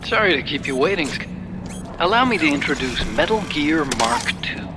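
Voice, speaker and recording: An actor playing an earnest, helpful tech-expert sidekick in a video game, explaining things in a friendly way. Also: male voice, on the high side, very animated, normal speed, background noise. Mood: friendly, eager. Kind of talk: video game cutscene acting.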